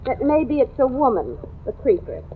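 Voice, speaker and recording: Gushy nosy housewife neighbour, voice swinging all over, tinny old radio drama. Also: female voice, sits high, very animated, moderate pace, slight background noise. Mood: gossipy, insinuating. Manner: gushing, exclamatory, gossipy. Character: chatty, gossipy married woman neighbour in a city apartment house. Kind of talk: old-time radio thriller acting. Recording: tinny, narrow-band old radio recording.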